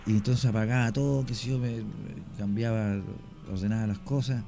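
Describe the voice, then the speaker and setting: Man, radio guest, hesitant storytelling, warm tone, soft music underneath. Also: lowish voice, steady tone. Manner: slow, halting storyteller. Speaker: guest, paranormal investigator. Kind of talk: radio talk show storytelling.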